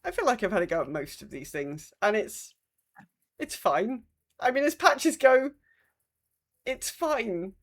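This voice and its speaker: A woman's voice: medium pitch, big pitch swings, measured pace, long pauses. A woman co-hosting a casual gaming podcast, speaking hesitantly and repeating herself as she goes. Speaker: podcast host.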